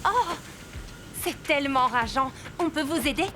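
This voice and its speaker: A woman's voice: high-pitched, lively intonation, measured pace, music in the background. A woman playing a kindly, eager police officer in a French cartoon dub. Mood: helpful.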